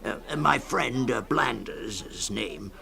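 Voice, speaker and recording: A man playing a rambling old storyteller fond of a drink in a dramatised short story, hemming, hawing and repeating himself in a creaky voice. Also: mid-range voice, takes it slowly, pushed and bright, full character voice. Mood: musing, emphatic. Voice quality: creaky, gravelly.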